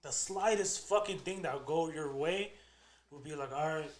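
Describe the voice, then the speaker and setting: A man's voice: on the high side, moderate pace. A man in his mid twenties, a podcast guest, talking in a hyped, swaggering, profane way. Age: 20s. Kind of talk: casual podcast chat. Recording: echoey room.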